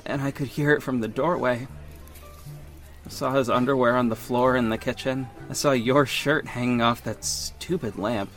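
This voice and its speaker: Man acting a wounded ex-lover, slow and earnest. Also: mid-range voice, fairly bright tone, close to an everyday voice. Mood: bitter, wounded. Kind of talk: audio drama acting and first-person narration.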